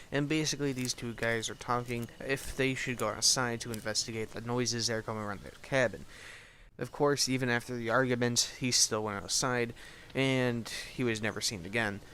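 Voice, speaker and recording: A man's voice: medium pitch, fairly even intonation, moderate pace, a bit bright. A young man giving a casual, sarcastic recap commentary in an offhand, sweary way. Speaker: host, video reviewer. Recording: clearly noisy home recording.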